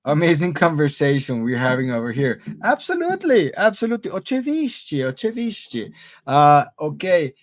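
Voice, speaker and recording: Guy teaching english online, kinda high voice, keeps saying muy bien, hops between spanish english portuguese. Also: huge pitch swings, moderate pace. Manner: repeats praise like muy bien. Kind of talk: live online class reading student answers.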